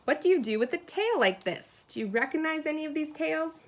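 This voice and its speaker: A woman teacher reading a children's picture book aloud expressively, pausing to put questions and asides to her young listeners. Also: high-pitched, expressive.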